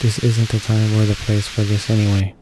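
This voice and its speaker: A man's voice: fairly low pitch, monotone, fairly quick, low-quality recording. A man reading visual novel text aloud as a let's player, stumbling over words now and then. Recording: game music behind the voice. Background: video game music.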